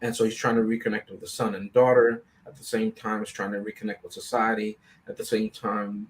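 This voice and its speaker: Even-toned, lowish-voiced man discussing film. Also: rough recording. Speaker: panelist on a movie review show. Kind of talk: casual film discussion and synopsis.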